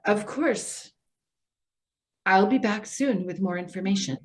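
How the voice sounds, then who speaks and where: A woman's voice: medium pitch, measured pace, natural voice. A woman playing a well-meaning social worker in a stage reading, hesitant, earnest and placating. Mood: cautious concern. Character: well-meaning female elder affairs social worker, apologetic, cautious. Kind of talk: readers theater stage acting.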